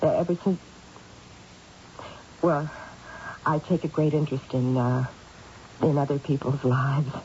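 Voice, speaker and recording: A muffled, hissy vintage radio recording carries a lonely middle-aged neighbour woman. Her voice is lowish and mellow, and she confides in a hesitant, anxious ramble, apologising as she goes. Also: measured pace, long pauses. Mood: interested, grateful. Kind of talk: old-time radio drama acting.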